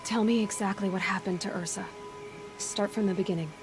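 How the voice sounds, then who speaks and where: An actress playing a determined young huntress in a video game, serious and measured, stern yet compassionate. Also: female voice, medium pitch, steady tone, music in the background, natural voice. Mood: serious concern. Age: young adult. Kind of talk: dramatic video game acting.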